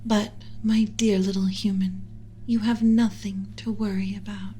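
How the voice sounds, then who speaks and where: A woman voicing a doting alien scientist mother in a soft, breathy ASMR whisper, speaking with slow, soothing, formal tenderness. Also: medium pitch, flat delivery, slight background noise, natural voice, poor audio. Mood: reassuring. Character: doting female alien scientist mother, gently possessive of human pet.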